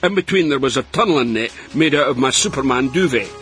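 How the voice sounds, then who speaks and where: A man's voice: medium pitch, animated, quick pace, fairly bright tone, faint music behind, lightly acted. An actor playing a roguish, boastful Scottish man in his sixties from Dundee in a radio comedy, colloquial and mock-sincere. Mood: cheerful, boastful. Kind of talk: radio comedy acting, reading out emails.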